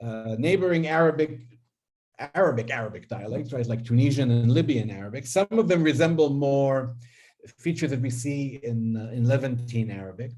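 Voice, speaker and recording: A man's voice: mid-range voice, animated, normal speed, a little mellow. A man whose first language is Hebrew, giving an academic linguistics lecture, hesitant, with many fillers and restarts, often checking in with "right?". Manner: asks listeners "right?".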